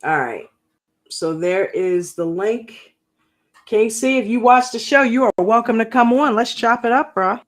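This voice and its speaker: A woman casually reviewing a reality show on a livestream, slangy and animated, acting out quotes in a mocking tone. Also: a little high, a little slow. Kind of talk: casual reaction livestream chat.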